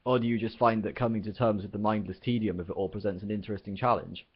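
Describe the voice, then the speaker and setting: A man voicing a quick-witted alien traveller who talks persuasively with nervous energy. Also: lowish voice, fairly even intonation, slightly rough, muffled recording. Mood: cajoling.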